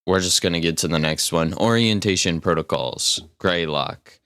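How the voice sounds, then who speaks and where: A man's voice: deep voice, normal speed, a bit bright, slight background noise. A young man giving casual, slangy reaction commentary as a video host, with puzzled asides.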